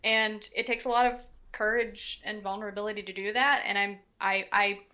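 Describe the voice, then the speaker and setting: A woman's voice: medium pitch, fairly even intonation, normal speed, bright, forceful tone. A woman speaking unscripted on a commentary panel, earnest and a little tentative, correcting herself as she goes.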